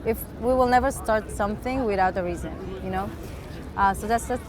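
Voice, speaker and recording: A woman's voice: medium pitch, a little fast, crowd noise. A young woman from Israel with an Israeli accent, earnestly explaining her view at length in a casual street conversation. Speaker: interviewee. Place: city street outdoors. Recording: street noise behind.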